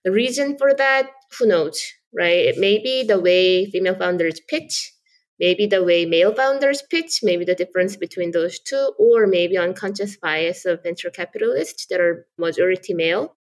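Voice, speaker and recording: A woman in her thirties from South Korea talks as a startup founder in a podcast interview. Her voice sits a little low. She explains things earnestly and often starts with "so" or "absolutely.". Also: normal speed.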